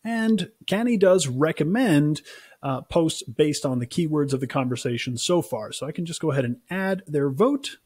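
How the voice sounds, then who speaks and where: A man in his thirties walks through software in an informal, conversational way, like a support rep showing a customer around. His voice sits a little high and has a husky edge. The sound is dry and close to the mic. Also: expressive, moderate pace, no echo. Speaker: customer success presenter. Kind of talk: casual software demo walkthrough.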